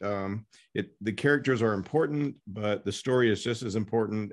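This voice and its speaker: Man, lowish pitch, podcast host, announcing and chatting. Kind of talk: scripted book announcements and casual interviewing.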